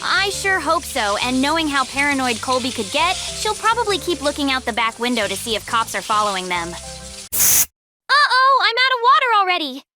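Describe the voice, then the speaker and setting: A woman's voice: high-pitched, big pitch swings, moderate pace, over music. A woman voicing a casual, chatty young girl helper in a comic dub.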